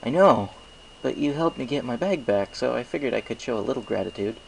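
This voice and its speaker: A man's voice: mid-range voice, lively intonation, a little fast, creaky, a little hiss or hum, voice pushed up, low-quality recording. A man voicing a modest, good-natured young pony with a casual, sheepish manner in a storybook reading.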